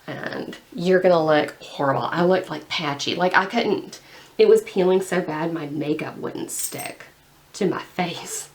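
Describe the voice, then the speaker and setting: A woman's voice: lowish voice, a little slow, slight background noise. A young woman chatting casually while painting her nails, rambling and full of "like". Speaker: beauty vlogger.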